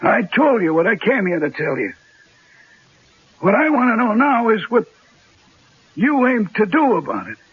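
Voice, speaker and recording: An actor playing a rough, blunt, slangy underworld tough with a raspy voice in a radio drama. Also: male voice, high voice, sing-song, normal speed, pauses often, no room sound, full character voice. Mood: blunt, wary. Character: rough street-tough male underworld informant and gangster. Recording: dull, lo-fi old radio recording.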